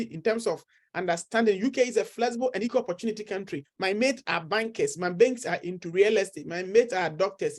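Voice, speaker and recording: A middle-aged man with a Ghanaian accent, a study-abroad consultant earnestly encouraging viewers and repeating his key points. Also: high voice. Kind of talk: persuasive talk to camera.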